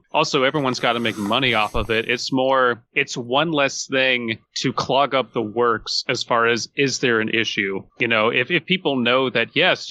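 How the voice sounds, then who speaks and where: Guy chatting on a podcast, really bright forceful voice, explains stuff step by step. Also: medium pitch. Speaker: podcast co-host. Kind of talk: casual podcast discussion.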